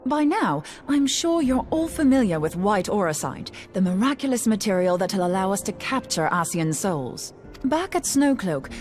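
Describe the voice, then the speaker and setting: An actress playing a blunt, confident, teasing researcher in a fantasy game, with breezy, colloquial speech. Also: female voice, mid-range voice, a little mellow, lightly acted. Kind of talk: scripted fantasy game dialogue.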